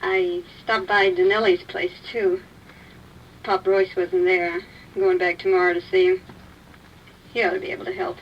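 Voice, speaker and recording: A woman's voice: fairly low pitch, level tone, moderate pace, long pauses, lightly acted. A woman acting the part of a reluctant informant in a vintage radio police drama. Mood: soothing, solicitous. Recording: muffled, distant vintage radio with light noise.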